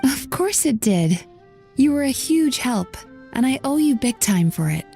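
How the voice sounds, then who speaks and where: A composed bathhouse owner. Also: female voice, high voice, sing-song, unhurried, vocal fry, dark tone, exaggerated voice. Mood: grateful. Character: young woman bathhouse owner, calm and composed. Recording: game audio with faint music.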